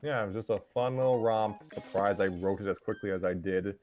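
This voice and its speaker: A man with a fairly low voice talks casually as a host, with music clearly playing behind him. His delivery is hesitant and rambling, as in a relaxed conversation with friends. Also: fairly quick. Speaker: host and writer of fan scripts. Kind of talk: casual group chat. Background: background music.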